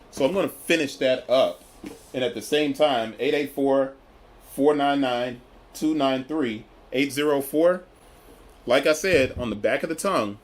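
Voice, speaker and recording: A man's voice: mid-range voice, roomy sound. A man casually reviewing a sneaker on camera, rambling and enthusiastic, repeating himself as he goes. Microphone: camera then phone.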